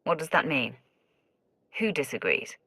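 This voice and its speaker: A man's voice: a little high, sing-song, a little slow, sharp tone, slightly rough, dry recording, full character voice. An actor playing a blunt, commanding male warrior hero in a space saga game. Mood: demanding. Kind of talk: scripted game cutscene acting. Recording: music playing underneath.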